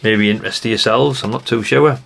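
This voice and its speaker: A man's voice: lowish voice, fairly quick, vocal fry, a bit bright. A man, giving casual, nostalgic commentary in a rambling, chatty way, musing aloud to the viewer. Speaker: retro collector presenting a video.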